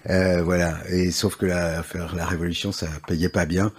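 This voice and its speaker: A middle-aged man with an old Parisian accent, a radio guest answering questions with many hesitations and trailing off quietly. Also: low voice, level tone, normal speed, slightly rough. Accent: old Parisian French. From Belgium. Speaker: guest, advertising agency head.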